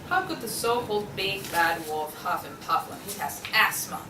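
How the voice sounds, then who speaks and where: A young woman in a student table read playing a reasonable, persistent juror pig, explaining and persuading in a measured manner. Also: medium pitch, expressive, over music, close to an everyday voice. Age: young adult. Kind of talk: student table read of a comic courtroom play. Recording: distant, echoey room with clear background noise.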